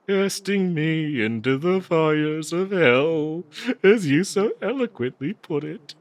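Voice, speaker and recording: A man voicing a possessive, bloodthirsty, lovesick talking cursed dagger in an audio roleplay, speaking to the listener in a theatrical, doting yet menacing manner with halting hesitations. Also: fairly high pitch, dry recording, exaggerated voice. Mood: gleeful, lovesick.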